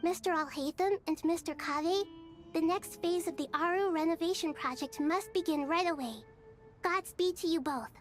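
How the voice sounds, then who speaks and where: A woman's voice: extremely high pitch, normal speed, soft background music. A woman voicing a small, composed young girl deity in a video game, speaking earnestly like a leader. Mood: composed, directing.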